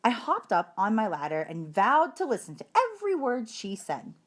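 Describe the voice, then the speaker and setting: A woman reading a children's story aloud in an expressive, emphatic storytelling manner. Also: fairly high pitch, normal speed. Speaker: storybook reader, teacher.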